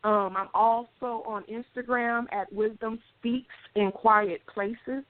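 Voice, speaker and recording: A woman's voice: a little high, steady tone, takes it slowly. A woman life coach speaking as a radio guest, earnest and hesitant, with many ums. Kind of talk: casual interview chat. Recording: dry, tinny phone-line sound.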